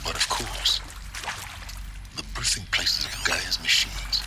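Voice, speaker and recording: A man playing an enigmatic, knowing older mentor in a video game, measured, wry and cryptic. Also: deep voice, over loud music. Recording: noisy game ambience behind.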